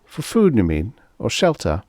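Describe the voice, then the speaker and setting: A man's voice: fairly low pitch, huge pitch swings, moderate pace, a little mellow, dry recording. A male narrator plays a puzzled expedition crewmate in a science fiction story. He exclaims and questions, and the recording is close and very dry.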